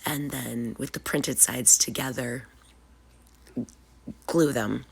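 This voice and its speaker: A woman's voice: low-pitched, creaky, breathy edge. A woman with a cold, sounding sniffly, casually explaining a DIY craft step by step as host of her channel. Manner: casual, chatty, with frequent "uh" and "um". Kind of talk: DIY craft tutorial narration.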